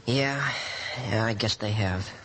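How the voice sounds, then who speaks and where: A man playing a practical, reassuring older brother, a young man, with expressive old-time radio drama acting. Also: on the low side, a little slow, slight background noise. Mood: reluctant, firm. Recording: vintage radio recording with long reverb.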